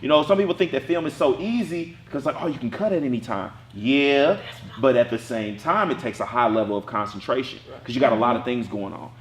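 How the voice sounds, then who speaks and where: A man teaching an acting class, talking casually in a lively way with a strong voice and acting out bits of his stories. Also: medium pitch, fairly quick, reverberant, slight background noise.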